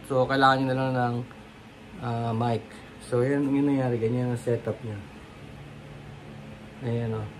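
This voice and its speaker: A man's voice: medium pitch, level tone, unhurried, long pauses. A Filipino man giving a casual, rambling product demo in short fragments. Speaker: product reviewer. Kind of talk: casual unboxing and demo chat. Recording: echoey, noisy room, amateur karaoke-mic demo.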